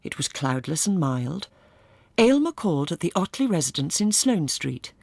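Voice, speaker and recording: An Englishwoman narrating a radio drama in a dry, measured, literary storytelling manner. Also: sits low, big pitch swings, gravelly, a little mellow, dry recording, heavily acted.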